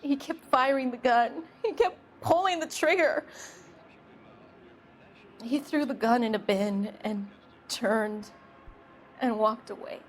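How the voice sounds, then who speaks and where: An actress playing a nervous, evasive young shop girl giving halting witness testimony in a video game. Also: fairly high pitch, slight background noise. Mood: reluctant recounting. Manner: hesitant, halting, plaintive. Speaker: witness being questioned by police. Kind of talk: video game dialogue acting.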